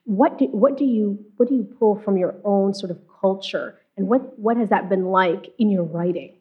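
A woman interviewer with a mid-pitched voice who asks her questions carefully and steadily. The recording is dull and lo-fi. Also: moderate pace. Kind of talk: broadcast interview.

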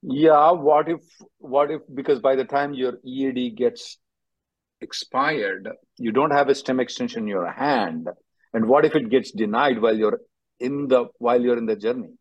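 Blunt male attorney, slightly husky. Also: mid-range voice, normal speed, dull audio. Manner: blunt, directive, repeats himself for emphasis. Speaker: attorney hosting an advice call-in. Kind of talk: conference call Q&A, quick advice.

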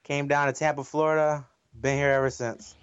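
A man's voice: on the high side, level tone, fairly quick, smooth, lo-fi sound. A man from Chicago, upbeat podcast co-host. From Chicago, Illinois.

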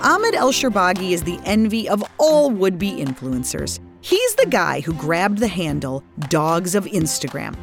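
A woman hosting a business podcast, reading a scripted intro and then interviewing guests in a studio with a bright, easy manner. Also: on the low side, unhurried, vocal fry, few pauses, music in the background. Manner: bright, lively, laughs easily. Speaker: podcast host and magazine editor.

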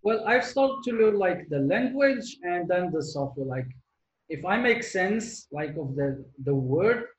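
A man from Morocco, a guest in a casual interview, telling stories in an animated way and filling his talk with "like". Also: high-pitched, measured pace, reverberant, muffled recording. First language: not English; French his second language. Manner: animated, many "like" fillers, laughs often.

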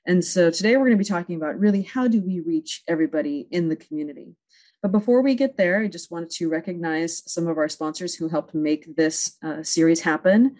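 A woman moderates an online conference session from a video call. Her voice sits somewhat low, and she talks in a friendly way with plenty of ums and uhs. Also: a little fast, a little mellow. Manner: friendly, with frequent fillers. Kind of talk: hosting a virtual panel.

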